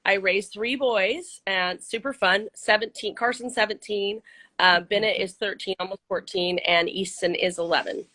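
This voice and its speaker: A middle-aged woman from Central California, a little hoarse, talking casually and upbeat with lots of ums and restarts in an interview. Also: mid-range voice, steady tone, normal speed, bright, forceful tone. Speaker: interview guest, nonprofit CEO. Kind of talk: casual video-call interview.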